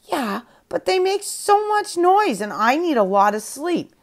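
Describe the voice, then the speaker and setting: A woman's voice: high voice, sing-song, unhurried, voice pushed up, heavily acted. A woman doing a grumpy sleepy panda girl. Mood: sheepish.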